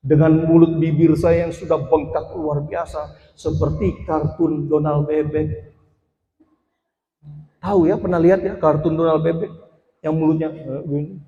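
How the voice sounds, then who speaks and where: A man's voice: on the high side, warm tone. A man in his forties giving a personal testimony as a sermon to a congregation, addressing them directly and building to emphatic passages. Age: 40s. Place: church. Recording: echoey hall with long reverb.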